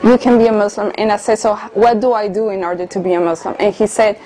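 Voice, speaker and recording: A woman of about thirty, speaking English in a middle-pitched, everyday voice. She tells her personal story earnestly and unhurriedly, with small hesitations between thoughts. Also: level tone, quick pace, warm tone, low-quality recording. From Colombia. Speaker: interviewee. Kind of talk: personal testimony in conversation.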